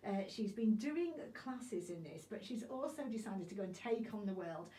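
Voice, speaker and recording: Mellow woman radio interviewer, roomy sound. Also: medium pitch, animated, normal speed. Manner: chatty, prompting with questions. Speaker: radio presenter. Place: radio studio. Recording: roomy, slightly distant, with clear background noise.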